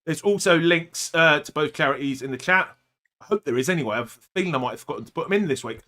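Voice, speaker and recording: A man's voice: fairly high pitch, lively intonation, fast talker, sharp tone. A man hosting a casual football fantasy podcast, chatty and full of little fillers. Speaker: podcast host.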